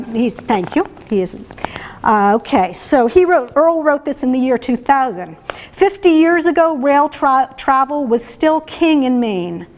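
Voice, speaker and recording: A woman's voice: sits high, a little mellow. A woman artist giving an informal talk in a gallery, reading excerpts aloud with frequent fillers and restarts. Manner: chatty, hesitant, many fillers and restarts. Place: art gallery before an audience.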